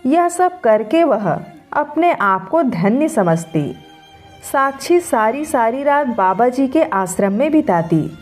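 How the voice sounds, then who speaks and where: Slow, high-pitched woman storyteller. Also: sing-song, a little mellow. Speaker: story narrator. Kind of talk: scripted Hindi story narration. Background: background music.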